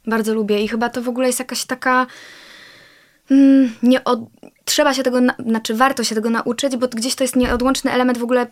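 Young woman, fairly high voice, chatty. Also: little pitch movement, a little fast. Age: young adult. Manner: fluent, many fillers and self-corrections. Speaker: guest, actress and singer. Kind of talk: casual interview talk.

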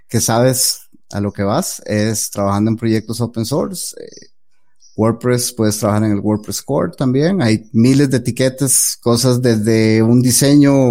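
A man's voice: medium pitch, dark tone. A man answering as an interview guest, giving earnest advice with many hesitant "eh" fillers. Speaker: guest developer.

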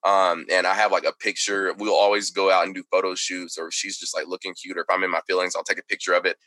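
A man's voice: deep voice, level tone, fast, pushed and bright, no room sound. A young man from Texas, a podcast guest chatting casually and filling his talk with "like" and "you know.". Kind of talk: casual conversation.

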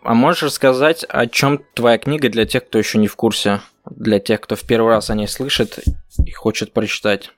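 A man hosting a podcast, asking his guest calm, measured questions. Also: mid-range voice, dry recording, clean studio sound, soft background music. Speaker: podcast host. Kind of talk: interviewing.

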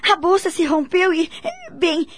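A woman's voice: very high voice, unhurried. A Brazilian actress playing a polite, anxious young woman in a radio drama, with a hesitant way of talking. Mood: worried, affectionate.

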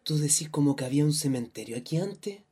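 A woman's voice: sits low, expressive, fast, mellow, slightly rough. A woman playing a superstitious neighbour in a radio drama, confiding in colloquial speech with a Chilean accent.

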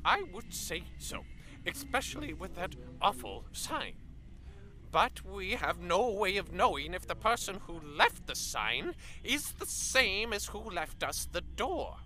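A man's voice: high-pitched, very animated, measured pace, bright, forceful tone, heavily acted. A man playing a pompous, philosophical professor who lectures in a lofty, musing way. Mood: measured. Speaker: professor interviewed on a broadcast.

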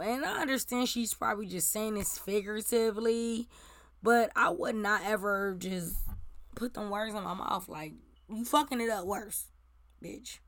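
A woman in her forties with a slightly raspy voice, hosting casual celebrity gossip commentary in a blunt, profane, ranting way. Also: a little high, a bit bright, dry recording.

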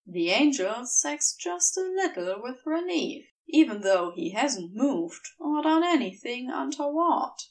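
A woman narrating a scripted story in a measured, expressive storytelling manner, recorded a little back from the mic. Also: high-pitched, far from the mic. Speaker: fan fiction audiobook reader.

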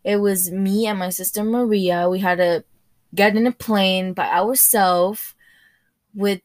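Slow, careful teenage girl. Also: medium pitch, steady tone, dry recording. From Spain, raised in Ecuador. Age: teens. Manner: slow, careful, searching for English words. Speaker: interviewee. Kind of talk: school podcast interview.

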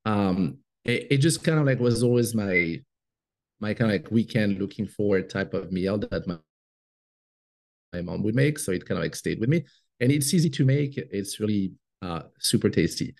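A man's voice: on the low side, lively intonation, moderate pace, creaky, a little mellow. A man with a French accent, chatting casually as a podcast guest, hesitant with frequent fillers and laughs. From France. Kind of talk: casual interview chat.

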